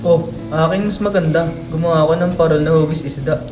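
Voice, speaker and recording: A man's voice: a little high, steady tone, a little fast, music in the background, close to an everyday voice. A man playing a joking, boastful village guy in a radio drama. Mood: playful, boastful.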